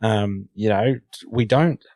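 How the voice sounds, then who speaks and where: A man's voice: fairly low pitch, slow pace, darkish tone, no echo, clean studio sound. A middle-aged man with an Australian accent, talking earnestly in a casual podcast discussion and often stumbling over the first words of a sentence. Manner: earnest, repeats words when starting sentences. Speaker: podcast guest.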